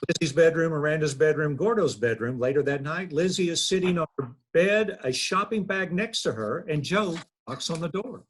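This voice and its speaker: A man reading the stage directions aloud at a table read in a plain, steady way. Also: fairly high pitch, normal speed, a little hiss or hum.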